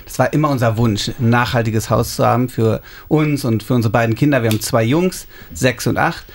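A man's voice: mid-range voice, a little hiss or hum. German man in his thirties explaining. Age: 30s. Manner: explanatory, unhurried, describing details. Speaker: interviewee, homeowner. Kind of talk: casual interview answers.

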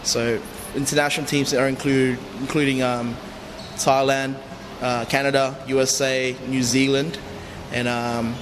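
A man from the Philippines giving unscripted, halting interview answers as a youth coach. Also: medium pitch, flat delivery. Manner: hesitant, halting phrasing. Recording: long reverb, clear background noise.

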